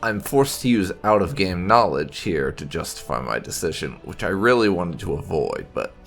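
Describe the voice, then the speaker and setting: A man hosting a video game playthrough, explaining things in halting, unscripted commentary as he thinks aloud. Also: deep voice, sing-song, a bit bright, faint music behind. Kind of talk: unscripted let's-play commentary.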